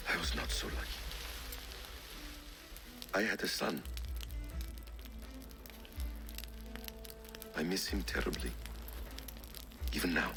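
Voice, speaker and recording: An actor playing a grave, grieving assassin in a video game, speaking in a measured, solemn way. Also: male voice, deep voice, animated, gravelly, bright, forceful tone, soft background music, heavily acted. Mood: grieving, bitter. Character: grave, grieving assassin man, a bereaved father. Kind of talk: dramatic video game acting.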